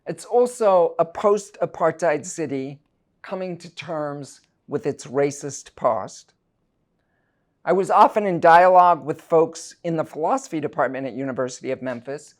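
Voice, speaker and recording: A middle-aged man from South Africa, a university professor delivering a prepared lecture in a measured, deliberate, scholarly way. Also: a little high. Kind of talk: prepared academic lecture, read aloud.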